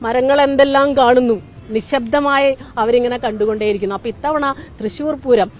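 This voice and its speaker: Fast-talking high-voiced woman reporter. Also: a little hiss or hum. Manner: chatty, informal, inquisitive. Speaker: TV news reporter. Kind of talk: casual on-location vox-pop interviewing and commentary. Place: outdoors under a shade tree at a public ground.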